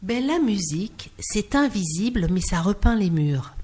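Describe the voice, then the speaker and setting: Expressive woman telling stories in an interview. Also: medium pitch, a little slow. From Clermont-Ferrand, France. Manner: expressive storyteller, acts out quoted dialogue. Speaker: interview guest, singer working in hospitals. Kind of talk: animated anecdotal interview talk.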